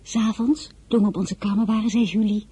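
A woman's voice: medium pitch, steady tone, fairly quick, dark tone, slightly rough. An actress narrating as a young woman telling her own story in a confiding, wry way. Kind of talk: radio drama narration.

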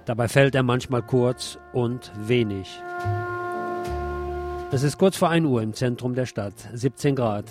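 A man hosting a radio show, reading a scripted literary essay in a deliberate way. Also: medium pitch. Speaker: radio host. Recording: music behind the voice. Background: music.

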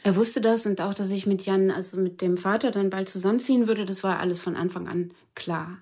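Grieving woman in a german crime radio play, husky, halting, feeling her way through memories. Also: medium pitch, steady tone, a little mellow. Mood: sad, subdued. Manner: halting, hesitant recollection. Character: grieving woman, a dead man's former lover, questioned as witness.

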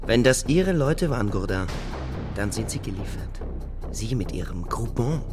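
An actor playing a gruff, sardonic and threatening provincial police lieutenant in a radio crime drama, with curt questioning. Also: male voice, on the low side, animated, moderate pace, music in the background, lightly acted. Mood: pressing, menacing.

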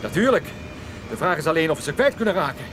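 An actor playing a cool, sardonic private detective on the run in a radio play. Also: male voice, a little high, very animated, quick pace, over music. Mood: defiant.

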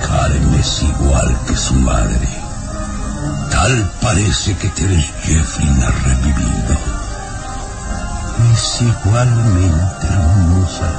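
An actor with a Mexican accent playing a menacing, obsessive, imperious baron in a theatrical old radio serial. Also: male voice, very deep voice, big pitch swings, extremely slow, vocal fry, loud music behind the voice, full character voice, poor audio. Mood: brooding, obsessed.

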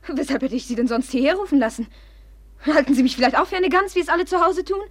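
An actress in a 1950s radio play playing a proud, impulsive young noblewoman who flares up imperiously and then drops to a hush. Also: high-pitched, animated, quick pace, no echo. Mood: earnest, fervent.

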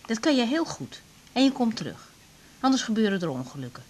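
An actress playing a brisk, protective young woman doctor in a radio drama, direct and teasing. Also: on the high side, big pitch swings, darkish tone. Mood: firm, caring. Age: young adult. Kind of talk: 1980s Dutch radio drama acting.

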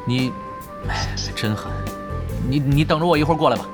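Man acting a quick, wry gamer team leader. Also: a little high, lightly acted. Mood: dry, exasperated. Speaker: voice actor, lead character. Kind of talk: Chinese radio drama dialogue. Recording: music bed behind voice.

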